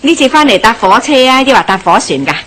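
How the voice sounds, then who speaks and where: An actress playing a warm-hearted, nosy middle-aged village wife with a Hong Kong Cantonese accent, gossipy and exclamatory, in a radio drama. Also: female voice, a little high, sing-song, fast talker. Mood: cheerful, curious.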